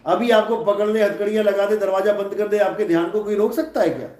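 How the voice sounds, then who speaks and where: A man's voice: high voice, little pitch movement, quick pace, darkish tone. A man giving a live spiritual discourse in a hall, asking rhetorical questions and answering them himself. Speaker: spiritual teacher. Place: large hall.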